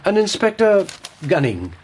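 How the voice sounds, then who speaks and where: An actor playing a brisk, good-humoured detective agency head in a radio drama. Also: male voice, sits high, huge pitch swings, slight background noise, heavily acted, poor audio. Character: Victorian detective agency head, middle-aged man.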